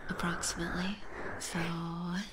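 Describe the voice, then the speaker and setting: A woman with a Californian accent doing playful ASMR chat in a breathy whisper, close to a binaural microphone. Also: on the low side, little pitch movement, moderate pace, noisy background. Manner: playful, teasing, giggly. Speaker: ASMR streamer playing a vampire catgirl. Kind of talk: ASMR, casual chat with live viewers. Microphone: close binaural ear microphone.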